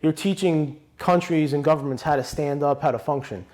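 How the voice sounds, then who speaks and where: A man's voice: fairly high pitch, brisk, darkish tone. A man, a guest on a podcast telling stories in a blunt, loose way with many restarts. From Brooklyn, New York. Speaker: podcast guest, retired police officer. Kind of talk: casual interview conversation.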